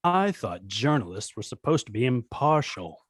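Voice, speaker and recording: A sneering, arrogant tycoon villain, acted by a man. The voice is husky and swings in pitch, with haughty scorn, in a superhero script table read. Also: big pitch swings, normal speed. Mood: scornful.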